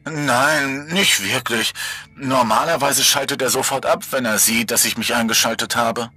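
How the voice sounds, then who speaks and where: A man's voice: mid-range voice, normal speed, sharp tone, husky, voice pushed up. A man voicing a teasing cannibal killer woman. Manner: teasing, coolly condescending.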